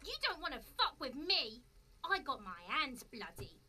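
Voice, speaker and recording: A sharp, extremely high-pitched street urchin girl from a video game. She is defiant and foul-mouthed, with a melodramatic, swooping delivery that taunts whoever she talks to. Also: big pitch swings, a little slow, exaggerated voice.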